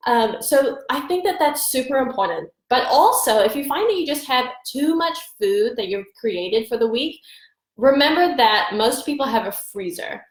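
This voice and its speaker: A congested woman with a stuffy nose talks on a live stream, upbeat and chatty as she gives food tips. Her voice sits a bit high, and the room around her rings with echo. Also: expressive, normal speed. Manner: upbeat, chatty, greets viewers by name. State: congested from allergies. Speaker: nutrition coach hosting a live stream. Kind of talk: casual live-stream advice. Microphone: phone or webcam for a live stream.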